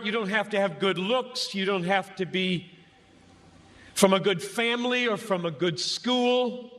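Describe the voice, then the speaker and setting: A man preaching an impassioned sermon to a crowd as a pastor, speaking emphatically and deliberately with dramatic pauses between short phrases. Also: very high voice, bright, forceful tone. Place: large gathering before a crowd. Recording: echoey large venue, long reverb.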